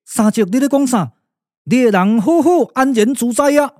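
A man with a Taiwanese accent voicing a wise, commanding older strategist in a measured, theatrical puppet radio drama. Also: very high voice, a little mellow, studio quality. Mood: calm, commanding. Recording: echoey reverb with music behind.

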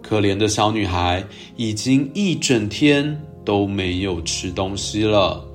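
A man hosting a storytelling show, narrating for young children in a gentle, expressive way. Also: lowish voice, takes it slowly, soft background music. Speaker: children's storytelling podcast host.

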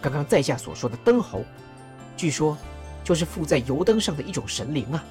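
Performer as a learned advisor, patient storyteller, lively intonation, faint music. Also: mid-range voice, fairly quick, natural voice. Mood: explaining. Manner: explaining, storytelling. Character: learned calm male court advisor.

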